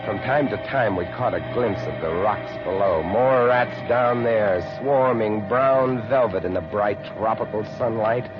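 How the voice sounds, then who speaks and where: An actor playing a haunted lighthouse keeper, narrating horror in a dramatic, deliberate, hushed style for a 1950s radio drama. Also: male voice, lowish voice, lightly acted. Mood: grimly fascinated. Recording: muffled old radio broadcast, loud music.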